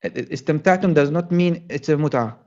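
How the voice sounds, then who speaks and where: A man whose first language is Arabic, calling into a debate and arguing defensively with halting restarts. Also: fairly high pitch, darkish tone, muffled recording. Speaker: caller. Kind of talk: heated call-in debate.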